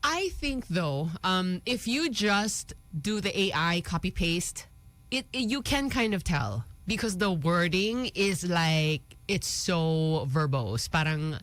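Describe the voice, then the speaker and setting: A woman's voice: lowish voice, expressive, takes it slowly, fairly bright tone, no room sound. A woman co-hosting a casual morning radio show, conversational and agreeable, in with some Tagalog. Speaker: radio co-host.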